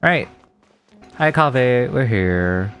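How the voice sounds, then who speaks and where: An animated game streamer with a mid-pitched voice that moves around a lot. The talk is casual and sweary, easily amused, reacting live over soft game music. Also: takes it slowly, smooth. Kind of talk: live gameplay commentary with read-aloud game text and reactions. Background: video game music.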